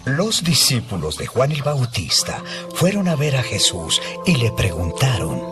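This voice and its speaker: A man's voice: medium pitch, very animated, a little slow. A man narrating a dramatized scripture reading as a scripted storyteller. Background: music.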